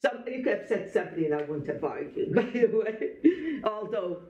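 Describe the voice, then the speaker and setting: A woman's voice: mid-range voice, big pitch swings, moderate pace, dark tone. A woman in her late sixties giving a personal life-story talk to students, conversational and digressive, speaking directly to her listeners. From born in Belgium. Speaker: guest speaker, Holocaust survivor telling life story to students. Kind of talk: personal testimony talk to a school audience. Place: echoey room, live talk to a group. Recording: echoey room, dull muffled sound.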